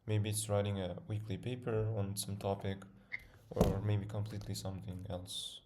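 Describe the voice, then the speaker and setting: A man's voice: lowish voice, flat delivery, moderate pace, background noise. A man interviewing a guest, phrasing his question hesitantly.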